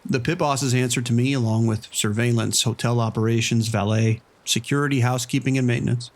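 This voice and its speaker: A man's voice: medium pitch, steady tone, brisk, close to an everyday voice. A man playing a matter-of-fact, weary casino operations manager in an understated audio drama monologue. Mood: matter-of-fact, uneasy.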